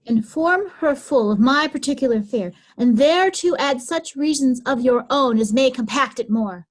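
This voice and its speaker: A woman playing a haughty, suspicious noblewoman, imperious and deliberate, in a Shakespeare reading. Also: high-pitched. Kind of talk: Shakespearean reader's theatre acting.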